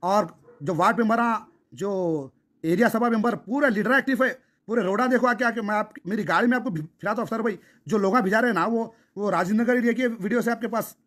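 A man's voice: sits high, quick pace, a bit bright. A man with a Hyderabadi accent talking insistently and emphatically on a recorded phone call, often repeating himself. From Hyderabad, India. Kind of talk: phone conversation, impassioned persuading.

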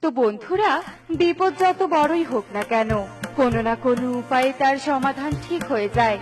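A woman's voice: sits high, normal speed, a little mellow. Female narrator for scripted children's tales, with faint music behind her.

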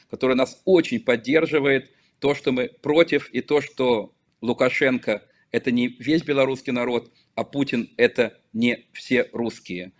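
A middle-aged man from Belarus speaks over a video call from his office, his voice at an ordinary middle pitch. He answers in a measured, emphatic way, pausing and correcting himself as he goes. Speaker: interview guest, local politician and activist. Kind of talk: earnest remote interview answers. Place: office with small desk flags, indoors. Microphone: video call webcam.

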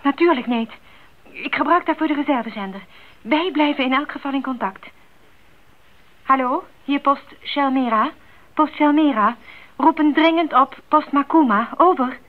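A woman's voice: sits high, normal speed. An actress playing a caring, capable woman who runs a mission post radio in a radio drama. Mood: businesslike concern. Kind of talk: 1980s Dutch radio drama acting. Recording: narrow tinny radio sound.

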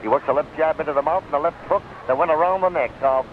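Tinny high-voiced 1930s radio fight announcer, man. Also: fast. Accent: American. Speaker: radio boxing commentator. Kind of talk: 1930s live radio blow-by-blow commentary. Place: ringside at an outdoor stadium. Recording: tinny, noisy 1939 radio broadcast recording.

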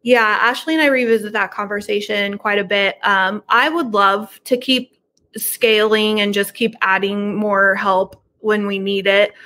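A woman's voice: medium pitch, fairly even intonation, measured pace, pushed and bright. A middle-aged woman talking casually as an interview guest over a video call from a room at home. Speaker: podcast guest, horror book subscription business owner.

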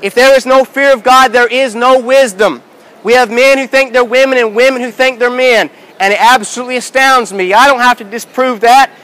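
A man in his thirties preaching outdoors in a busy city square, shouting with emphatic, repetitive rhetorical questions. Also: very high-pitched, fairly even intonation, fairly quick, rough recording. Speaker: street preacher. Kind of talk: open-air sermon. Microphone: far handheld camera, no mic.

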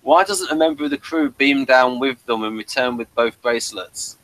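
A man's voice: mid-range voice, normal speed, creaky, fairly bright tone, poor audio. Man in casual review chat. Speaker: podcast co-host.

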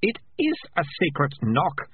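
A man voicing a bossy, dramatic young girl in a storybook, brisk and know-it-all. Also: on the high side, huge pitch swings, narrow, tinny audio, slight background noise, voice pushed up. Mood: annoyed.

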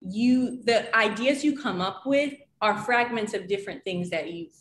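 A woman's voice: medium pitch, measured pace. Young woman on a roomy video call. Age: young adult. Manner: fluent, uses "like" often, gestures while talking. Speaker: guest, creative strategist. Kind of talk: casual interview. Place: home room over video call.

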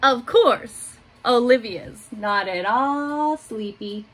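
A woman in her thirties reading a children's picture book aloud in simple, deliberate phrases, adding little asides for young listeners. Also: fairly high pitch, a bit bright. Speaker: school staff member reading aloud to pupils. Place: small home office room, indoors. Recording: noticeable room noise behind voice.

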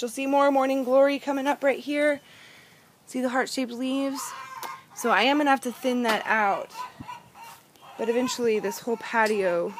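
A young woman giving a chatty, upbeat walking tour of her backyard garden, recorded on a handheld phone outdoors. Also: fairly high pitch. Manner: upbeat, chatty, pointing things out. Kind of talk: casual walking tour narration. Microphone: phone camera held in hand. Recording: outdoor phone audio with background noise, hand over mic at times.

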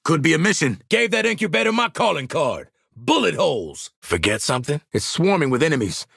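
A man with an American accent voicing a cocky, sardonic marksman assassin in a video game, streetwise and wry, with a gritty, husky voice. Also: on the high side, very animated, fairly quick, a bit bright, dry recording, clean studio sound, full character voice. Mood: brash, dry. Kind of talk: video game combat barks and banter.

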